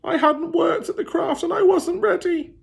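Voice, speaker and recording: A man voicing a wailing ghost in a storybook read-aloud, giving a shrill, mournful warning. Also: normal speed, gravelly, exaggerated voice. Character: wailing ghost of a failed firework maker.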